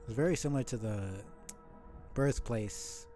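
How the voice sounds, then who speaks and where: A man's voice: mid-range voice, measured pace, warm tone, soft background music. A man giving casual live commentary on a video game as he plays, thinking aloud and swearing offhandedly.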